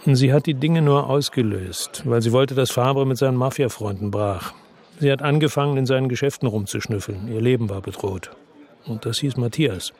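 An actor playing a world-weary, bitter crime investigator who narrates and talks tersely in a radio drama, with a gravelly, husky, deadpan voice. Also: male voice, mid-range voice, moderate pace, lightly acted. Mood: grim, weary. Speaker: first-person narrator and lead character in a radio drama.

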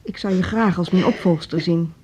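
An actress playing a measured, firm school director in her fifties in a radio drama, deliberate and diplomatic. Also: on the low side, a little mellow, slightly rough. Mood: hurt, weary. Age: 50s. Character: measured, firm middle-aged female music school director. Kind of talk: Dutch radio drama acting.

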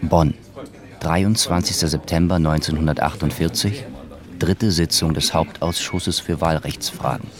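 A man's voice: very deep voice, steady tone, a little slow, crowd noise. A man making terse, matter-of-fact announcements for an audio documentary.

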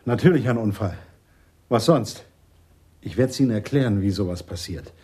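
Husky, mellow police sergeant, very dry sound. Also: male voice, on the low side, expressive, moderate pace, no room sound. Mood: smug explaining. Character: eager, opinionated police sergeant, man, theorizing about crime. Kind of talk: German radio play acting.

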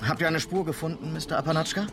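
A man plays a young, inexperienced police sergeant in a radio Western. His voice sits fairly high, courteous, eager and slightly hesitant, with music behind it. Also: very fast, natural voice. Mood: curious. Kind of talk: German radio drama dialogue.

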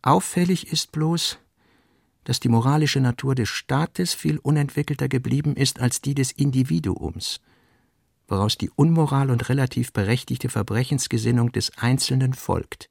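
Creaky-voiced man reading literary notes, dry studio. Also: mid-range voice, moderate pace, no echo. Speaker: reader of literary notes in a radio drama. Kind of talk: scripted literary radio reading, essayistic and fragmentary.

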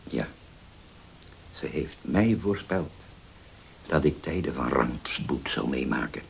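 A hissy old radio drama recording of a grave middle-aged father. His voice is very low and he speaks slowly and haltingly, weighed down by old betrayal. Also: lively intonation, vocal fry, full character voice. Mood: sorrowful, solemn. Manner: halting, hesitant, solemn, with many pauses. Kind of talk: Dutch radio play acting.

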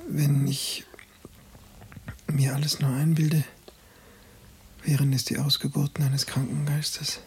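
An actor playing a shaken, weak young man doubting his sanity in a German radio play, hushed and distressed. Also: on the high side, level tone, slow pace, long pauses, a little hiss or hum. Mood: haunted. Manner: hushed, haunted.

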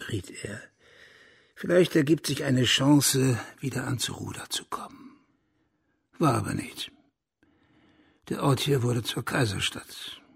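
A burly, broad-shouldered hunter in a radio drama. His voice is very husky and rough, crackling with gravel. He rambles on in an earthy, blunt way, like a talkative country gentleman. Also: mid-range voice, heavily acted. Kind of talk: German radio drama acting.

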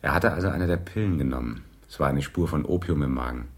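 A man's voice: a bass voice, quick pace. An actor playing a laconic, skeptical male police detective in a radio crime drama, terse and dry in manner. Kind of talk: 1980s German radio crime drama dialogue.

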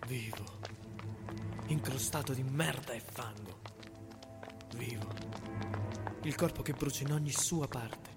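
A man's voice: medium pitch, takes it slowly, pauses often. A man acting a desperate, haunted fugitive rebel in a fragmented, brooding radio drama monologue. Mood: shattered, grieving. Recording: music under the voice.

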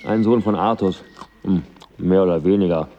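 A man playing a stubborn, gruff knight in a radio drama, curt and deadpan. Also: deep voice, normal speed, in a large hall, lo-fi sound, close to an everyday voice.

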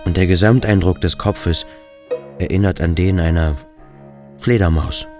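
Very deep, brooding inner voice. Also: male voice, darkish tone. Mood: contemplative, observing. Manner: introspective, halting, deliberate. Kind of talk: radio drama interior monologue. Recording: studio with music behind. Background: instrumental music.

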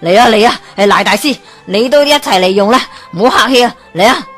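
A woman's voice: on the high side, animated, a little fast, a bit bright, faint music behind. A woman voicing a greedy, eccentric old man in a radio storybook, with a Hong Kong Cantonese accent. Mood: greedy eager. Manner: rambling, greedy, then smug and satisfied.

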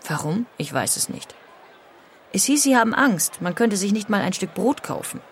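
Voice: medium pitch, sing-song, moderate pace, slight background noise. An actor voicing an immigrant who plainly and ruefully recalls being questioned on arrival. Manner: plain, rueful first-person recollection. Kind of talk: audio drama reading.

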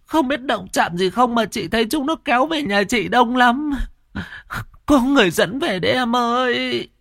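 A man's voice: very high voice, expressive, moderate pace, vocal fry, fairly bright tone, dry recording, studio quality, pitched above the performer's own voice, full character voice. A man voicing a distraught mother, pleading and wailing shrilly and breathlessly in a horror story. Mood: tearful, pleading.

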